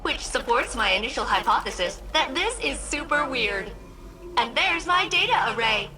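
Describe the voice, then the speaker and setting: Quirky female starship AI, high and very lively, eagerly precise game dialogue over music. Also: normal speed, background noise. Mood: chipper, precise. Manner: chirpy, formal technical phrasing. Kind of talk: video game character dialogue. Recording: music behind the voice.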